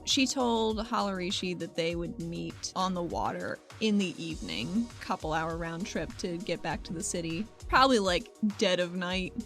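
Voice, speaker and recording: A woman's voice: lowish voice, animated, moderate pace, hardly any pauses, a bit bright, faint music behind. A woman playing a tabletop game, chatting and narrating her character's actions informally, with casual swearing. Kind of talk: casual roleplay chat and narration.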